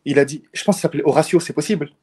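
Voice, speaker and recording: A man's voice: fairly high pitch, a little mellow, breathy edge. A man calling into a live discussion, speaking informally with slang and repeating himself as he pushes his point. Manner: fast, informal slang, repeats words when pressing a point. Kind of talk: casual debate.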